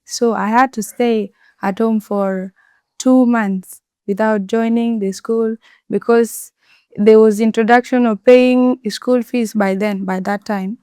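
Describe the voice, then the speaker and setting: A young woman working as an ICT community mobilizer, earnestly recounting her schooling struggles with some halting restarts. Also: on the high side, steady tone, mellow, clean tone, no echo, studio quality. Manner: earnest, slightly halting storytelling. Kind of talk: personal account in an interview.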